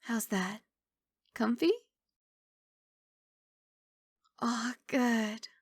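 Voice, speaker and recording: A woman voicing a kindly young giant dragon girl in an ASMR roleplay, speaking in a soft, coaxing, reassuring and slightly bashful way. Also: fairly high pitch, fairly even intonation, takes it slowly, husky, no echo, studio quality, exaggerated voice. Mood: tender, caring.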